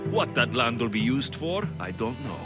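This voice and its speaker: An actor playing a gruff, protective mercenary man who talks in blunt, clipped lines, heard in a game cutscene over loud music. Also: mid-range voice, big pitch swings, normal speed, close to an everyday voice. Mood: probing. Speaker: game protagonist.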